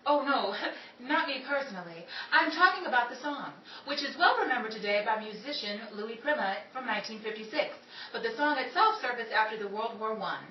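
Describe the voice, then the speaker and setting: A woman's voice: on the high side, lively intonation, brisk, hardly any pauses, dull audio, lightly acted. A woman playing a chatty, polished 1930s radio broadcaster with a theatrical, presentational delivery. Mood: showy, poised. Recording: echoey room, distant mic, noisy background.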